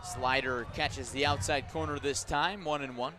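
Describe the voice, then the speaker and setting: A man doing live radio play-by-play of a baseball game, descriptive and unhurried between pitches and punchier on the action. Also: steady tone, music in the background. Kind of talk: live radio sports commentary.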